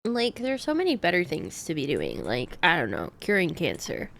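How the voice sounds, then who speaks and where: A woman's voice: on the low side, huge pitch swings, moderate pace, gravelly, a bit bright. A woman livestreamer chatting casually while she plays a game, with playful exclamations and asides. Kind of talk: casual gaming stream chat.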